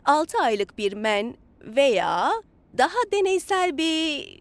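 A woman's voice: high-pitched, very animated, slow pace, no echo, full character voice. A woman voicing a stern, formal disciplinary judge in a cartoon dub, authoritative and clipped. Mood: official.

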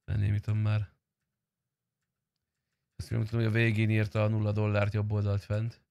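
A man's voice: lowish voice, completely flat delivery, gravelly, a little mellow, dry recording. A young man streaming an online roleplay game, negotiating in casual, slangy, insistent talk with some swearing, on a close microphone. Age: young adult. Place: indoor streaming room.

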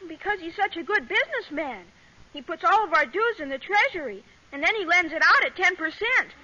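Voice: very high-pitched, animated, fairly quick, sharp tone, heavily acted. An actor playing an earnest young scout boy in a 1940s radio comedy, on a muffled, hissy old recording.

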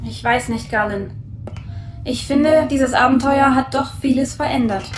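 Thoughtful artificial woman, high voice, roomy sound. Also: measured pace, a little hiss or hum. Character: artificial young woman, curious and calm. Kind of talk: audio drama acting.

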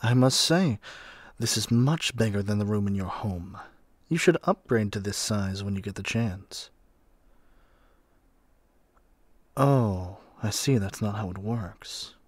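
A man's voice: fairly low pitch, measured pace, dry recording. A man playing a haughty, impatient god in an audio roleplay, with an imperious, theatrical way of talking. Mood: casual, proud. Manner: imperious, theatrical, addresses listener as mortal. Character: haughty, impatient male god of magic rooming with a human.

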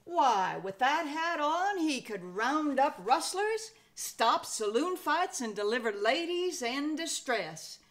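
An older American woman in her sixties reads a children's picture book aloud with expressive, folksy Western storytelling. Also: sits high, takes it slowly, hardly any pauses. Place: indoor room at home.

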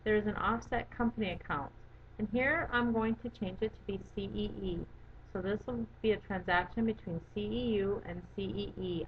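A woman giving a methodical, step-by-step software walkthrough as an instructor. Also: mid-range voice. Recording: dull lo-fi audio with background noise.

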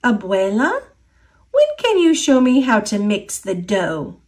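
Woman voicing a seven-year-old storybook girl, American, affectionate, eager, animated pitch. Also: slow. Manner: sweet, eager.

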